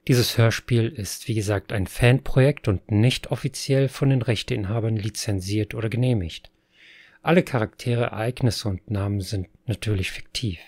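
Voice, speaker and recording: A man's voice: fairly low pitch, moderate pace, a little mellow, slightly rough, no room sound. A man reading a scripted closing announcement for an audiobook.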